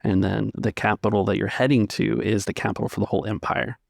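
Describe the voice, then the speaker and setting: A man's voice: on the low side, fairly even intonation, fast, vocal fry, dry recording, clean studio sound. A man narrating a tabletop roleplaying game as its game master.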